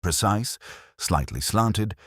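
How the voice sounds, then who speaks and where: A man narrating a first-person detective story in a measured, brooding, literary manner. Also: on the low side, lively intonation, fairly bright tone, slightly rough, dry recording, clean studio sound. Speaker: audiobook narrator.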